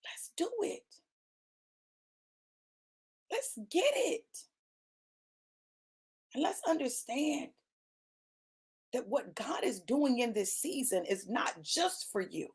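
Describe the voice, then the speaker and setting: A woman's voice: sits high, sing-song, slow, pauses often, slightly rough. A woman in her late fifties delivering a motivational sermon, emphatic and repetitive, addressing listeners as y'all and baby. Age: 50s. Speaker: preacher.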